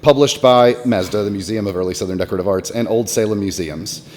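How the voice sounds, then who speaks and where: A man hosting a conference session, briskly reading an introduction of the next speaker. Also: fairly low pitch, darkish tone, slight background noise. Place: conference hall. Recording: long hall reverb, faint ambience.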